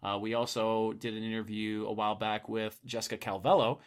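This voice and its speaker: A man's voice: lowish voice, little pitch movement, a little fast, clean tone. A young man hosting a casual podcast chat, rambling with fillers and laughing often. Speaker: podcast host.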